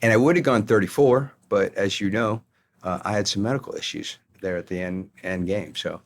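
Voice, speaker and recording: A man's voice: fairly low pitch, fairly quick. A middle-aged American man telling stories from his career as a guest in a conversational interview. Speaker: podcast guest, retired army colonel.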